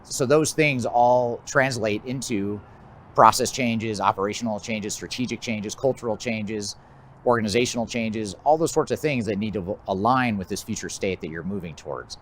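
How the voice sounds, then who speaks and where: Low-voiced American man presenting over background noise. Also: normal speed. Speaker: presenter, business technology consultant. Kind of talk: explanatory presentation of a trends list.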